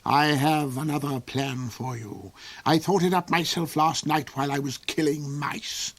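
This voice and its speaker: A cold, sneering old duke, played by a male reader, who drawls every cruel word slowly. He savours his threats and lets them fall into silky, hissing whispers. The voice sits close and dry, with no room around it. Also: on the high side, breathy edge, no room sound, pitched above the performer's own voice. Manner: slow, silky, mocking, drops into hissing whispers. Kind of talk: audiobook character voice.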